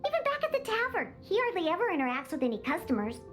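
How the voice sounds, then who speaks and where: A woman's voice: very high-pitched, wildly swooping pitch, fast, fairly bright tone, faint music behind, exaggerated voice. An actress playing a feisty young cat-girl bartender in a video game, with a cutesy, bossy, indignant manner. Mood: grumbling. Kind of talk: video game character acting.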